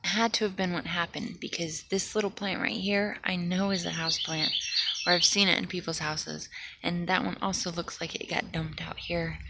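A woman chatting to herself and her viewers as she films a walk through overgrown woods, curious and quietly wondering at what she finds. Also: on the low side, fairly even intonation, normal speed, vocal fry. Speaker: vlogger. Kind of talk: casual nature walk vlog. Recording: loud outdoor noise with birds. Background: birdsong.

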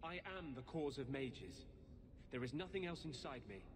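An actor playing an intense, secretive rebel mage in a video game, speaking in a grave, earnest, insistent way. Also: male voice, mid-range voice, lively intonation, measured pace, background noise, natural voice. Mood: insistent, fervent. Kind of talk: dramatic video game acting. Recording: game audio with clear background ambience.

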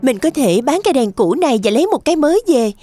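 A woman's voice: sits high, big pitch swings, fast, mellow, soft background music. A woman playing a sweet, naive young princess in a children's cartoon dub. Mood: hopeful.

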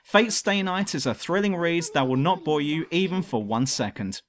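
A man's voice: a little high, expressive, moderate pace, heavy background noise. A man reading a scripted review narration in a dramatic, emphatic way, as the presenter of a countdown video. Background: music.

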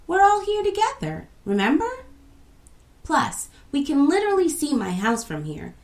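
A woman's voice: sits high, wildly swooping pitch, moderate pace, roomy sound, voice pushed up, exaggerated voice. A woman voicing a cheerful young girl who comforts her friends in a storybook podcast. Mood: reassuring.

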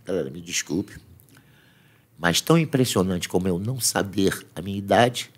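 Podcast guest, 50s, low and expressive, slangy storyteller on a boom mic. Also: male voice, moderate pace, husky. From Brazil. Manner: animated storyteller, slangy, laughs often. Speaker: podcast guest, jiu-jitsu fighter. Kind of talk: casual chat. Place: small podcast studio with foam panels. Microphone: studio mic on boom arm, headphones.

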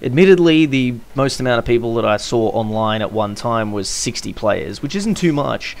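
A man's voice: mid-range voice, lively intonation, normal speed, creaky, slight background noise. A young Australian man with an Australian accent, chatting casually as a gaming YouTuber narrating over gameplay, correcting himself with little laughs.

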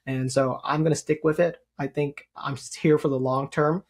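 A man in his thirties with a squeaky voice, a guest speaking earnestly and politely on a podcast over a video call. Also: steady tone, normal speed, husky. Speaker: guest YouTuber. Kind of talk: casual interview chat. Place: home room with gaming chair.

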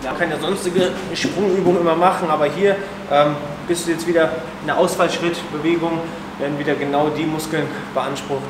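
A young man working as a fitness trainer, coaching a workout in a large indoor gym with brisk cues and counted reps. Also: on the high side, fairly even intonation. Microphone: camera mic from a distance. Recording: echoey gym, distant mic, loud music. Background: loud music playing.

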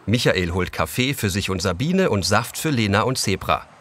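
A man's voice: on the low side, sing-song, moderate pace, fairly bright tone. A man playing the composed narrator of a children's audio story, reading scripted narration.

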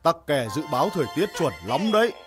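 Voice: medium pitch, expressive, fast talker, natural voice. Old owl uncle played by an actor, kindly n knowing, cartoon, swoopy voice over music. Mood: calm, explaining. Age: older. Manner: avuncular, knowing. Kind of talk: animated cartoon voice acting.

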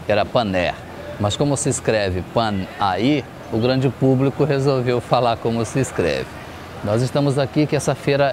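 Middle-aged man explaining outdoors, noisy street. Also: mid-range voice. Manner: informative, measured explanation. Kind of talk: on-camera tour explanation. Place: outdoor riverside fish market at dawn. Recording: outdoor street noise behind.

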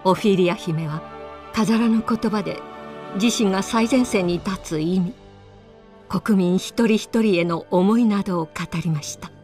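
A mid-pitched female voice from an actress as a studious teacher narrating a game's history. Her telling is formal and measured, over light music. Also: a little mellow, lightly acted. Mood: calm, solemn. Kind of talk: scripted narration in a Japanese video game.

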